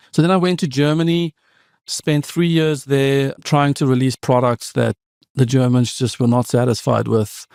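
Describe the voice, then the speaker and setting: A man's voice: medium pitch, darkish tone, no room sound, clean studio sound. A man in his fifties from South Africa, with Afrikaans as his first language and a South African accent, telling stories as a guest on a podcast in a reflective, meandering way with many asides. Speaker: podcast guest, tech founder. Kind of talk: conversational interview storytelling.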